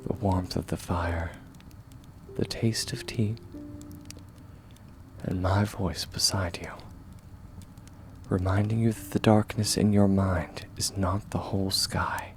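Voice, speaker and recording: A man voicing a kind, steadfast young warrior in a comfort ASMR roleplay, speaking gently and reassuringly in a measured, often hushed way. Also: deep voice, steady tone, slight background noise. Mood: soothing, earnest.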